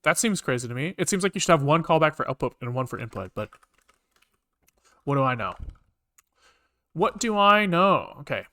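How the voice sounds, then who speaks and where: A man's voice: a little high, very animated, normal speed, pauses often, pushed and bright. A man talking casually to himself as he works through code on a live stream, informal and muttering. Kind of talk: casual think-aloud live coding commentary.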